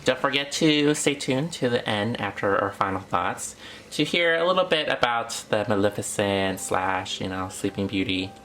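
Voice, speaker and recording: A man's voice: on the low side, lively intonation, moderate pace, a bit bright, a little hiss or hum. A man co-hosting a review channel, explaining product details in an informal, hedging, chatty way. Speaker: doll review channel co-host. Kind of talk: casual product review chat.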